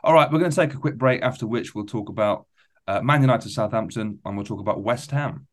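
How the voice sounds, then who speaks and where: A man's voice: fairly low pitch, brisk, dry recording, studio quality. A man hosting a casual football discussion, making emphatic points and repeating phrases to build them up. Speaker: football podcast host.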